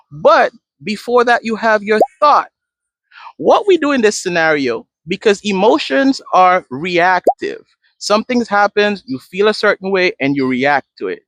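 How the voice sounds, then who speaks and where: A man's voice: high-pitched, a bit bright, no echo. A man from Guyana, a therapist in training, explaining a coping tool step by step in a conversational talk. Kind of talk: direct-to-camera advice talk.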